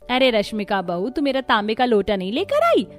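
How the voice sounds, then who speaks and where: A woman's voice: on the high side, huge pitch swings, moderate pace, soft background music. A woman voicing a bossy, dismissive older mother-in-law who scolds and orders in a cartoon story. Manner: commanding, scolding. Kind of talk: Hindi cartoon story voice acting.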